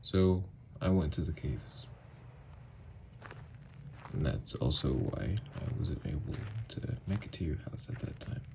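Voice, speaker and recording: A man playing a gentle, haunted, newly-turned vampire lover in an ASMR audio drama, speaking in hushed, halting, hesitant phrases. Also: rumbling low, very animated, creaky, noisy background, full character voice. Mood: wistful, awed.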